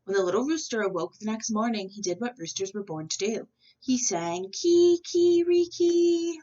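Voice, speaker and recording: A woman reading a children's storybook aloud in an expressive narrating style. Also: medium pitch, a little fast, recorded from a distance, dull audio. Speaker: storybook reader.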